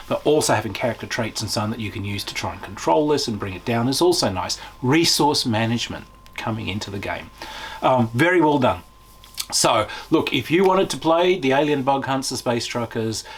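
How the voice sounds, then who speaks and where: A man giving a casual, unscripted game review as a video host, talking in an enthusiastic, emphatic, conversational way with a slightly husky voice. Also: mid-range voice, a little hiss or hum.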